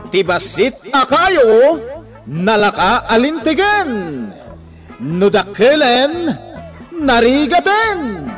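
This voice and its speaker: A woman's voice: sits high, very animated, very slow, soft background music. A woman announcer giving a dramatic scripted introduction to a radio drama in a proclaiming, declamatory manner.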